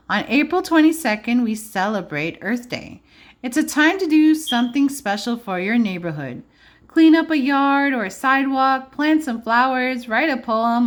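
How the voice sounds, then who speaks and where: A woman preschool teacher reading aloud to young children, adding simple explanations and checking in with questions. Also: on the high side. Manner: simple child-directed phrasing.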